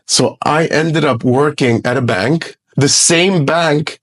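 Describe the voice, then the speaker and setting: A man's voice: fairly high pitch, slow. Blunt, profane man in his forties. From Lebanon. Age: 40s. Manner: blunt, profane, emphatic advice. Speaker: guest investor. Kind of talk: casual podcast interview.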